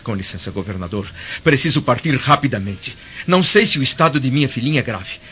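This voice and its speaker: A man's voice: mid-range voice, expressive, quick pace, sharp tone, a little hiss or hum. A man playing an earnest, anxious Roman senator in a radio drama, speaking with formal urgency. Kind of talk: Brazilian radio drama acting. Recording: muffled band-limited audio, faint music.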